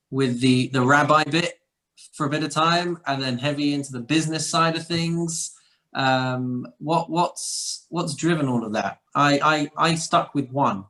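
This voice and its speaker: A man's voice: mid-range voice, bright, forceful tone, low-quality recording. A man in the audience, asking a question politely and with a laugh. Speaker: audience member.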